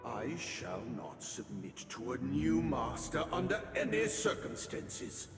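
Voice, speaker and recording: Troubled puppet servant, dramatic game role, slow and swooping, loud music behind. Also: male voice, mid-range voice, sing-song. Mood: anguished, defiant. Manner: dramatic, deliberate. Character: troubled male puppet servant agonizing over freedom and masters. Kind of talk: video game voice acting. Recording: hall reverb under loud music.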